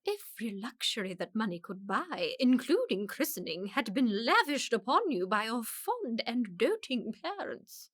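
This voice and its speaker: A woman's voice: on the high side, sing-song, normal speed, hardly any pauses, fairly bright tone, dry recording, studio quality. A woman playing an imperious, disapproving older aristocratic aunt in a period radio comedy, with a pompous, commanding manner. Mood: haughty, grave.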